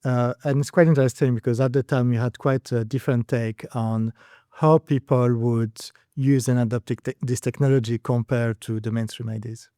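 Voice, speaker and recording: A man from Belgium speaks with a mellow, darker tone, laying out his background in a calm, measured way. The recording is close and dry. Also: medium pitch, no room sound. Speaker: co-founder, podcast guest. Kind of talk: casual conversational podcast chat.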